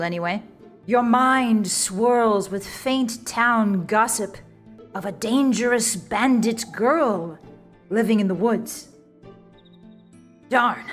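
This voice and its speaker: A woman narrating a comic visual novel in a wry, arch, mock-grand storyteller's manner. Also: on the high side.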